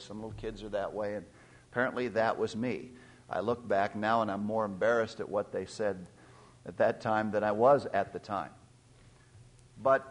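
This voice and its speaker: A middle-aged man preaching a sermon, reading scripture aloud and explaining its old words as he goes. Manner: pitch rises sharply and louder when stressing verses. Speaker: pastor.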